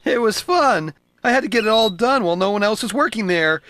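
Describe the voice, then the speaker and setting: A man's voice: high voice, animated, a little fast, fairly bright tone, slight background noise. A man playing a sleepy, good-natured male builder in a cartoon, tired and mild.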